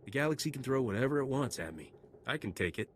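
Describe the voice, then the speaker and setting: An actor voicing a cocky, self-assured mercenary soldier in a video game, terse and swaggering. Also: male voice, mid-range voice, animated, fast talker, slight background noise, lightly acted. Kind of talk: video game dialogue acting.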